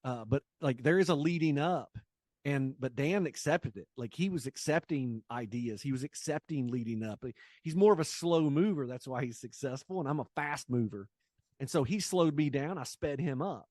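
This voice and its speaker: A man in his late forties talking casually as an interview guest, telling things in an energetic way and voicing quoted exchanges. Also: mid-range voice, normal speed, no echo, clean studio sound. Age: late 40s. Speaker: guest, business coach and sales trainer.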